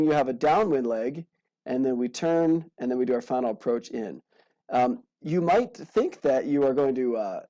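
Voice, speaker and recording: A man's voice: fairly high pitch, dark tone, poor audio. A man giving an informal aviation lesson as an instructor, with casual, playful asides. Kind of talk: informal aviation lesson narrated over slides.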